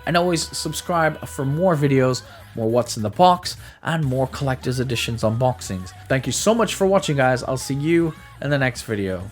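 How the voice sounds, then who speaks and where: A man's voice: medium pitch, animated, normal speed. Male reviewer, mid-range voice, casual and conversational, emphatic, repeats words, music underneath. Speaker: video game reviewer. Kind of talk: casual video game review to camera or voice-over.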